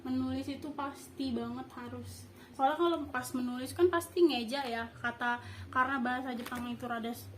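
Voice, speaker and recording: A young woman speaks in a high voice. She teaches in an informal, improvised way, stopping to search for words and correct herself. There is clear noise in the recording. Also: fairly bright tone. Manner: halting, improvised explaining with frequent self-corrections. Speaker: host teaching basic Japanese. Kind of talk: informal language review lesson.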